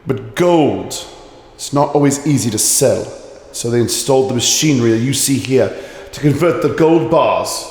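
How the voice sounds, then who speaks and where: An actor playing a calm, commanding male detective who lays out his reasoning in a radio drama. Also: medium pitch, reverberant, long reverb tail, close to an everyday voice. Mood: explaining. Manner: measured, explaining.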